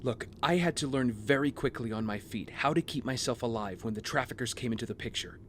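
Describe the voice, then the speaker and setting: A man acting a brooding, guilt-ridden young vigilante hero in an audio drama, speaking in an earnest, measured, confessional way. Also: mid-range voice, slight background noise, close to an everyday voice. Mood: troubled, confessional. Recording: music bed under the voice.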